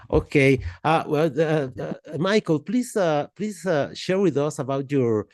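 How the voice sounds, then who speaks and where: A man from Mexico, hosting a podcast interview in a halting way, full of fillers and restarts. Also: on the high side, lively intonation, darkish tone, dry recording. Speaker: podcast host. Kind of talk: casual interview chat.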